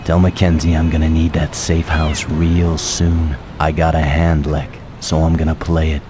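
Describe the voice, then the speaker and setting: A terse game antihero, an American undercover cop. His voice is extremely deep and stays flat and laconic. Clear vehicle noise runs behind him. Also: normal speed. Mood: grim, resolute. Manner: laconic, deadpan. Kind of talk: video game voice acting. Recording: noisy game cutscene with vehicle sounds.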